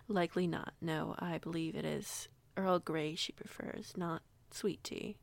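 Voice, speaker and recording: Woman acting calm, courteous werewolf alpha, creaky voice. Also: low-pitched, no echo. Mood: pleasant, informative. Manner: measured, polite, reassuring. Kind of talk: audio roleplay acting, conversational.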